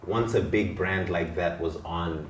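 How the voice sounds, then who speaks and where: A man hosting a podcast interview, asking relaxed, chatty questions with fillers. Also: deep voice, unhurried. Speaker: podcast host. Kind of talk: casual interview. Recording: echoey room with faint noise.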